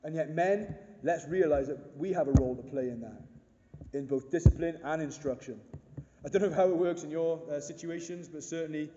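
A pastor in his thirties with a big booming voice, preaching an earnest, emphatic sermon full of rhetorical questions. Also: fairly quick, noisy background. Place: church hall. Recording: dull echoey hall recording.

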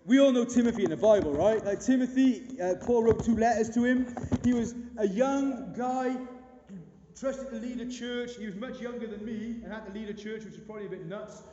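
A pastor in his thirties with a big booming voice, preaching an earnest, emphatic sermon full of rhetorical questions. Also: normal speed, noisy background. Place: church hall. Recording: dull echoey hall recording.

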